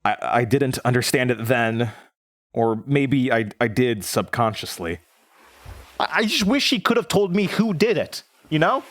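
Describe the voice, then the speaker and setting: A man acting as an earnest, reflective radio show host in an audio drama, speaking in a measured way with pauses. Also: mid-range voice, sing-song, no echo, studio quality. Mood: grieving, resolved.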